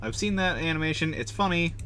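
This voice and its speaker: A man's voice: fairly high pitch, expressive, brisk, sharp tone. Chatty man streaming, faint background hum. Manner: chatty, joking. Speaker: game livestreamer. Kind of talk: casual live commentary on gameplay.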